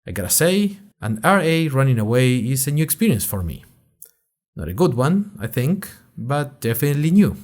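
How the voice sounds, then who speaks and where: A man's voice: mid-range voice, sing-song, normal speed, darkish tone, lightly acted. Man playing an anxious, wry freshman podcaster. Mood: bemused. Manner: rambling, wry asides, self-conscious. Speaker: narrator and host of a fiction podcast. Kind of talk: scripted first-person audio drama monologue.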